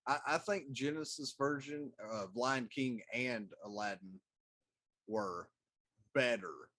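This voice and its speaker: A man with a middling pitch takes part in a casual talk-show chat. He is a co-host, adding remarks in an informal, conversational way. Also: slow, fairly bright tone. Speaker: podcast co-host.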